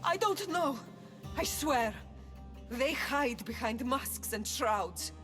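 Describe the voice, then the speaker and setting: A woman's voice: sits high, lively intonation, takes it slowly, a bit bright, soft background music, exaggerated voice. A woman playing a proud but frightened oracle priestess in a video game, speaking in a solemn, theatrical, earnest way. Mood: fearful, urgent. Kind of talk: dramatic video game cutscene acting.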